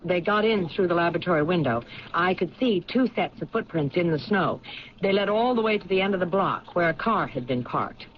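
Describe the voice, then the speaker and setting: An actress playing a sharp, persistent police lieutenant in a 1970s radio drama, questioning in a matter-of-fact way. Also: female voice, lowish voice, expressive, fairly quick, a little hiss or hum, lightly acted. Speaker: detective lieutenant. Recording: dull lo-fi 1970s radio recording.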